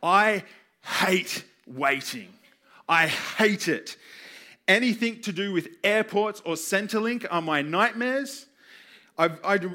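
Young aussie guy doing a sermon, pretty high voice, earnest, repeats stuff for emphasis. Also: sharp tone. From Australia. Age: 20s. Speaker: youth pastor preaching. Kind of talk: sermon, personal storytelling.

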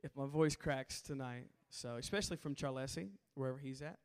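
A hoarse man preaching to a camp crowd in a large hall, calling for responses from the audience. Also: mid-range voice, fairly quick. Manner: call-and-response, coaxing the crowd. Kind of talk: sermon to a youth camp crowd. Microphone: handheld stage mic.

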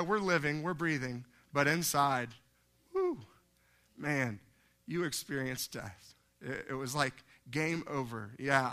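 A man preaching a sermon to a congregation in a slightly crackly, hoarse voice, conversational yet emphatic, often putting questions to his listeners. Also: a little high, sharp tone.

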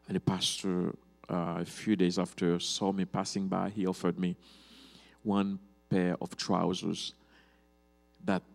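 A man with a Haitian accent, speaking English as a second language, giving an informal church testimony as a guest pastor in a halting, hesitant way. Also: sits low, gravelly, darkish tone. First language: Haitian Creole or French. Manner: halting, hesitant, with repeated restarts.

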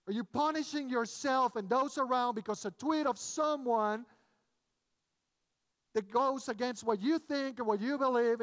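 A man with a Mexican accent, a native Spanish speaker, preaching a sermon in a deliberate, emphatic way, repeating key phrases. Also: very high-pitched. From Mexico City, Mexico. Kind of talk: sermon with scripture reading and personal anecdotes. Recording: reverberant hall.

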